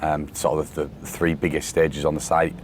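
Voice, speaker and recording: A man from the UK chatting casually on a podcast, rambling with fillers and restarts, his voice worn from shouting. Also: a bass voice, level tone, normal speed. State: voice going from shouting. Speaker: podcast host, security company boss. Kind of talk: casual chat. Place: outdoors on a festival site during breakdown. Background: faint site noise and vehicles.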